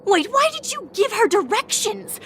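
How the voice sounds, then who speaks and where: An exasperated townswoman, high, swooping voice. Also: big pitch swings, normal speed, fairly bright tone, a little hiss or hum, heavily acted. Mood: incredulous. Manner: incredulous, scolding. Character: exasperated, suspicious young townswoman. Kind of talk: video game character acting.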